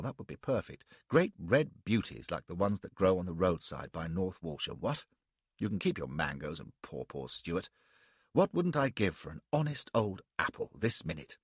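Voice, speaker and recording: A man voicing an enthusiastic English colonial gentleman who rhapsodizes eagerly. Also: deep voice, slightly rough, dry recording, full character voice.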